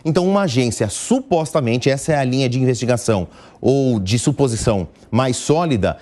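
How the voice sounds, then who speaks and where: A man's voice: mid-range voice, lively intonation, a little fast, dark tone. A man with a Brazilian accent explaining the news live on television in a didactic, step-by-step way, stressing key words. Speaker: news commentator.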